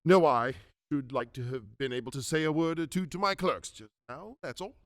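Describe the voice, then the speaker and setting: A man's voice: a little high, moderate pace, no echo, close to an everyday voice. A man playing a wistful, emotional old man in a radio drama, earnest and exclamatory. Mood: earnest, regretful.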